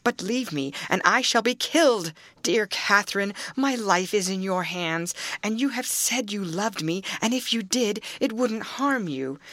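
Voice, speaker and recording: A woman's voice: medium pitch, a bit bright, no echo, voice pushed up. A woman reading a novel aloud, voicing a frail, frightened young man who sobs and begs. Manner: sobbing, begging. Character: frail terrified young man, sickly and pleading.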